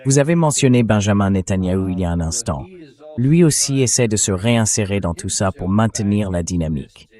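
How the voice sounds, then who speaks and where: A man's voice: lowish voice, expressive, fairly quick, dark tone. A man hosting a political interview, heard as a dubbed translation. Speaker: host, interviewer.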